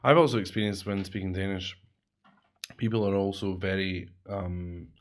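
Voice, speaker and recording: A man's voice: low-pitched, fairly even intonation, normal speed. A man with a Scottish accent, co-hosting a podcast in casual chat, rambling and correcting himself as he goes. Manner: rambling, self-correcting, chatty. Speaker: podcast co-host.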